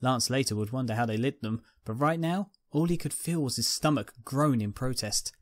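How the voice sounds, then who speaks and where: A man's voice: medium pitch, normal speed, no room sound, clean studio sound. A man narrating a scripted fan fiction story.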